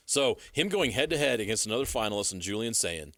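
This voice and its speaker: A man's voice: on the low side, expressive, quick pace, a bit bright, no echo. An American man co-hosting sports talk radio, talking casually and emphatically on a studio microphone. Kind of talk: casual sports debate banter. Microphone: close studio radio microphone.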